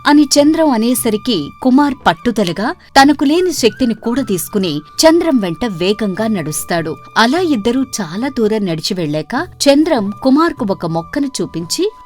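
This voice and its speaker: A woman reading a scripted moral story as narrator, in an expressive storytelling delivery. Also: a little high, normal speed, few pauses. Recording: music behind the voice. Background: background music.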